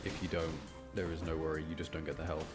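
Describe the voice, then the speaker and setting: A man's voice: rumbling low, level tone, fast talker. A man giving casual, chatty live commentary as he streams a video game, talking to his viewers. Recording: music behind the voice.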